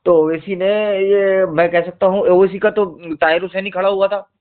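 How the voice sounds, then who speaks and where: A high-voiced man speaks over a thin, narrowband phone line. He explains politics in a casual but insistent way, pressing his points on the person he is talking to. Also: steady tone, normal speed, smooth. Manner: insistent, explanatory, addressing the listener as brother. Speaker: journalist discussing politics. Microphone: phone call. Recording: tinny, narrowband phone call recording.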